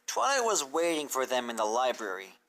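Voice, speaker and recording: Low-voiced woman reading a story aloud. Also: sing-song, fairly quick, a bit bright. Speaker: fan fiction reader. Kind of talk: scripted narration.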